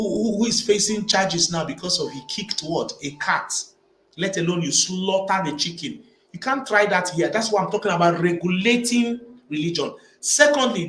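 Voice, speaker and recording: Emphatic high-voiced man in an echoey room. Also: fairly bright tone. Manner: emphatic, repeats phrases while building arguments. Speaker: vlogger. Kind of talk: opinionated commentary to camera. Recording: echoey room with faint ambient noise.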